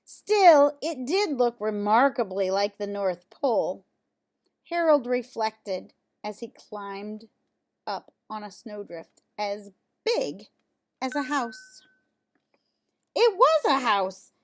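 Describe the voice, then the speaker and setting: A woman with a fairly high voice reads a children's story aloud at a very slow pace. Her pitch moves up and down a great deal. She breaks from the text for casual comments and questions to her young listeners. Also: very animated. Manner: pauses to comment on pictures and ask listeners questions. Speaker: storyteller reading a children's picture book aloud.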